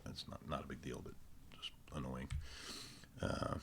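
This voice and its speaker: Very deep, gravelly man explaining tech. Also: level tone, a bit bright, a little hiss or hum. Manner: informal, halting, thinking aloud. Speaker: presenter of a technical tutorial. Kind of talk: casual unscripted screencast walkthrough.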